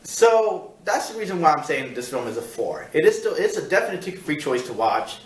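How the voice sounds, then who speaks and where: A man hosting a casual film review, talking in a rambling, halting way and repeating himself as he searches for words. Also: fairly high pitch, very animated. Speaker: film review show host. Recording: echoey room, distant mic.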